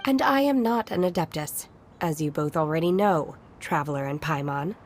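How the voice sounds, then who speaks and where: A woman playing a polite, earnest young female guide in a video game, speaking in a measured way. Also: low-pitched, expressive. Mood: surprised.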